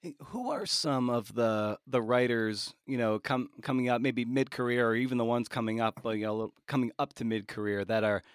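A man hosting a podcast interview, asking questions in a casual, halting, roundabout way. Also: medium pitch, smooth, no echo, studio quality.

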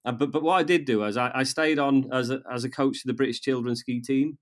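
A North Welsh man in his fifties, speaking as a guest in a relaxed interview. His voice sits at an ordinary middle pitch, and he talks in a halting way, repeating words and starting his sentences over. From North Wales. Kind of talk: casual interview conversation.

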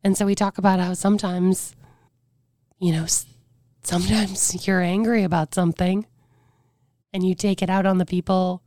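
This is a woman sharing personal stories on a podcast with a somewhat low, fragile voice that can't push past a certain loudness. She speaks earnestly and reflectively, leaning on casual fillers. The sound is dry and close, with no room around it. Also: flat delivery, normal speed, pauses often, no room sound. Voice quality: weakened voice that cannot get loud. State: voice drops to a whisper at times. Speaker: podcast guest. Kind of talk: candid personal storytelling.

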